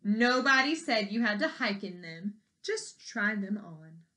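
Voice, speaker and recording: Storybook queen, played by a woman reader: demanding, haughty, bright forceful tone, formal proclamations. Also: mid-range voice, lively intonation, measured pace, voice pushed down. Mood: sharp.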